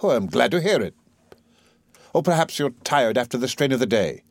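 A man's voice: mid-range voice, big pitch swings, normal speed, no echo. A man playing an arrogant, impatient male professor with an imperious, condescending, exclamatory way of talking in a play reading. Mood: lofty, uneasy.

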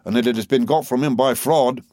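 A man's voice: medium pitch, a little fast, no echo, clean studio sound, pitched above the performer's own voice, close to an everyday voice. A man voicing a spiteful, scheming clerk in an audiobook, sneering and threatening with false familiarity. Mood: angry.

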